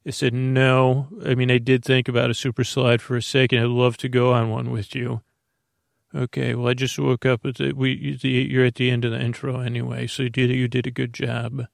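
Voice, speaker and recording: A man with a creaky voice hosting a bedtime podcast in a lulling, meandering, halting monologue full of stammered digressions. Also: medium pitch, little pitch movement, dry recording, studio quality. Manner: lulling, soothing. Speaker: host of a bedtime sleep podcast.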